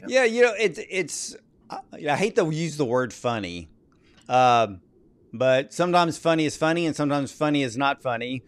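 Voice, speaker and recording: A man's voice: on the high side, sing-song, normal speed. A man hosting a conversational interview, speaking emphatically and addressing his listeners directly. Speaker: interview host.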